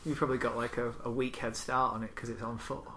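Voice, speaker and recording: A man's voice: mid-range voice, flat delivery, fast talker, a bit bright, a little hiss or hum. A man chatting casually as a co-host, informal and sweary, acting out bits of dialogue as he tells stories. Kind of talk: casual chat with anecdotes.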